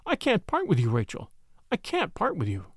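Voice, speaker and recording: A man's voice: high voice, sing-song, normal speed, fairly bright tone, no room sound, clean studio sound. A man voicing a pious, fervent spinster who pleads with impassioned insistence in an audiobook. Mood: fervent pleading.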